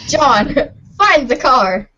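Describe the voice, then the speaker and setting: Woman, very high voice, playful mock-serious narrator, amateur script reading, slightly muffled sound. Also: big pitch swings, extremely slow, sharp tone. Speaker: narrator, regular of the show. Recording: muffled lo-fi home recording.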